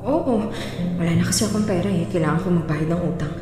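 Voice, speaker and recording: An actress playing a careless, defensive wife in a Filipino radio drama. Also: female voice, lowish voice, fast talker, lightly acted. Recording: very echoey hall, clear music behind.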